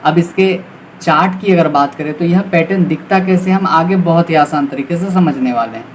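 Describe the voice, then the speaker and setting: A man's voice: a little high, moderate pace. A man with an Indian accent giving an informal, explanatory beginners' lesson as a trading tutor, often checking in with short confirmations. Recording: clearly noisy recording with background ambience.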